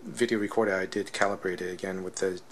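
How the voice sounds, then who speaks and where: Low, creaky-voiced man explaining gear. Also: steady tone, fast, a little hiss or hum. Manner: explanatory, often checks with "right?". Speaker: product reviewer demonstrating flashlights. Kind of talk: casual unscripted technical demonstration.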